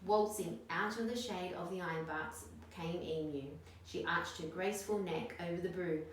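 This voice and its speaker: Woman, children's story reader, expressive, lowish voice, heavily echoing room, distant mic. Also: moderate pace, slight background noise. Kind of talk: expressive read-aloud storytelling.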